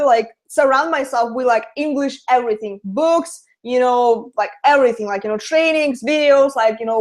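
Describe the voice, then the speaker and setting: A young woman with a Russian accent, chatting as a guest in a live interview and filling her talk with "like" and "you know". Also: high-pitched. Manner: chatty, lots of fillers.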